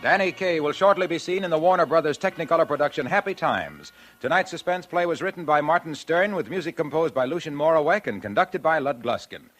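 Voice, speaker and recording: A man's voice: a little high, lively intonation, brisk, few pauses, a bit bright. A man announcing in 1940s radio style, delivering scripted advertising with polished sales patter. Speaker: radio announcer and sponsor pitchman. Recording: old radio broadcast, faint music.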